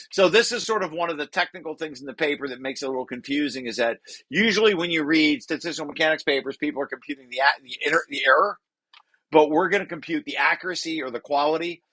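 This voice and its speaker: A man's voice: a little high, bright, forceful tone, no room sound. A man reading through a technical paper draft like a lecture, explaining as he goes with informal asides and often breaking off to rephrase.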